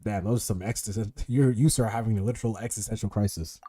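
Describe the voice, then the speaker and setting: A man's voice: fairly low pitch, steady tone, fast, warm tone, slightly rough, dry recording, clean studio sound. A man streaming a video game with casual commentary, rambling and swearing as he thinks aloud.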